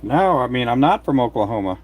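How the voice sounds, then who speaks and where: A man's voice: medium pitch, very animated, fairly quick, a little mellow. A man in his late fifties from Texas with a country accent, chatting casually and folksily on his livestream, with many fillers. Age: 50s. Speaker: fishing jig maker and channel host.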